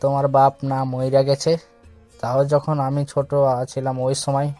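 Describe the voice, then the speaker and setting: A man acts out a stubborn, teasing younger brother in a romantic audio drama. The delivery is flippant but stays quite monotone, at a middling male pitch, over a music bed. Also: unhurried, natural voice. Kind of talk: audio story acting. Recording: music under the voice.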